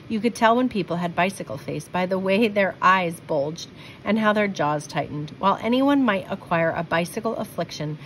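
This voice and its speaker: A woman's voice: lowish voice, rough recording. Woman reading a picture book, faint background noise. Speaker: storybook reader. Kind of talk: children's picture book read-aloud with asides.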